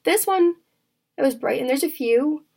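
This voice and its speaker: A woman in her twenties talks candidly to her vlog viewers in a raspy voice, halting often with fillers and restarts. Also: sits high, expressive, darkish tone. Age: 20s. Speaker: vlogger. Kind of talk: candid personal vlog chat.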